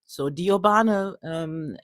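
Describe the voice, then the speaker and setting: A middle-aged woman introducing herself at a political Q&A, speaking deliberately and haltingly, with long pauses. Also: on the low side, no echo, clean studio sound. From Germany, Ghana and the UK. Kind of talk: spoken self-introduction at a Q&A. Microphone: handheld or passed microphone, close.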